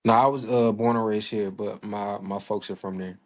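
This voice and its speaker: A man's voice: on the low side, steady tone, normal speed. A man in his twenties from Virginia, a musician talking casually in an interview, laid-back and full of fillers. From Virginia, United States. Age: 20s. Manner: laid-back, many fillers, soft-spoken. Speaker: musician guest.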